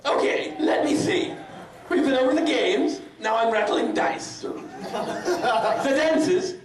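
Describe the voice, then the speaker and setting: A man voicing an excitable, bubbly young party-planner girl pony in a live comic dramatic reading. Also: extremely high pitch, takes it slowly, crowd noise, heavily acted. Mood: bubbly, excited. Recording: echoey convention room, distant mic.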